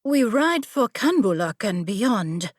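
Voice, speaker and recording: A woman's voice: mid-range voice, big pitch swings, slow, dry recording, clean studio sound. A woman voicing a proud, impatient young noblewoman warrior with a brusque, challenging manner in a fantasy audiobook. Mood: defiant, impatient. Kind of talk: audiobook fantasy character dialogue.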